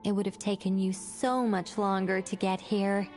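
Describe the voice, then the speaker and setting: A woman's voice: medium pitch, steady tone, normal speed, darkish tone, soft background music, natural voice. An actress playing a self-assured scholarly woman in a video game, formal and precise as she explains at length. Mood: composed, formal.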